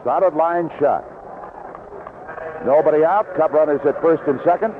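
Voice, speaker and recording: A man's voice: on the high side, moderate pace. An American man calling baseball play-by-play on 1970s radio in clipped, short fragments. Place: outdoor ballpark broadcast booth. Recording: dull, noisy vintage AM radio broadcast.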